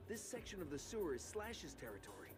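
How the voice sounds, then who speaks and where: A resolute leader ninja turtle. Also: male voice, a little high, sing-song, quick pace, music in the background. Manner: serious and resolute. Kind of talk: video game cutscene acting.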